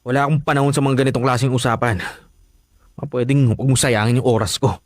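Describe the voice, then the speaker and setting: An actor with a Filipino accent playing a cold, irritable boyfriend, curt and scolding, in a radio drama. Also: male voice, mid-range voice, steady tone, fast talker, dry recording, studio quality, lightly acted. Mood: dismissive, annoyed.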